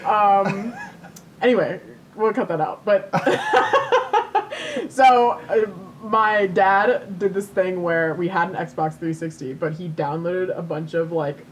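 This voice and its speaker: A young woman co-hosting a podcast, telling stories casually in a giggly, animated way with easy swearing. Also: takes it slowly, rough recording. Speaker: podcast co-host. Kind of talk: casual chat, storytelling. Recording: rumbly background noise.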